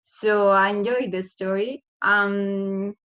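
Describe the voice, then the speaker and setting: A woman's voice: medium pitch, fairly even intonation, slow, fairly bright tone. Woman moderating, slightly dull call audio. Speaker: book club moderator. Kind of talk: casual moderated discussion. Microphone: video call.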